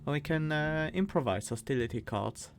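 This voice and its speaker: A man with a Swiss accent, streaming a card game and thinking aloud, reading cards and weighing choices with pauses and fillers. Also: fairly high pitch, expressive, a little hiss or hum. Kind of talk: casual gameplay commentary.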